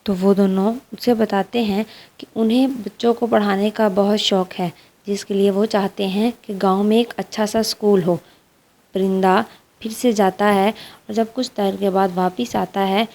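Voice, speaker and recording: A woman's voice: medium pitch, fairly even intonation, moderate pace, a little mellow. A woman narrating a scripted fairy tale for children as a storyteller.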